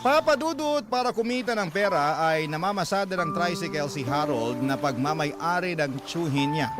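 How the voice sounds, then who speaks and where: Male radio drama narrator reading a first-person story, medium pitch, prominent music behind. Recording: very loud music bed.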